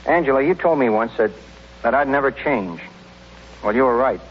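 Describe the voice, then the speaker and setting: An actor playing an easygoing, teasing young suitor in an old radio comedy, on a muffled recording with hiss. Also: male voice, mid-range voice, lively intonation, normal speed, lightly acted. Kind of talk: 1930s-40s radio comedy acting.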